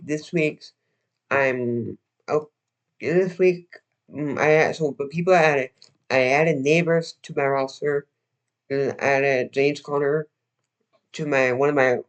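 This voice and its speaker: A young man hosting a casual livestream, slightly hoarse and losing his voice, talking in a rambling, halting way full of restarts and fillers. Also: on the high side, fairly even intonation. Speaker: livestream host.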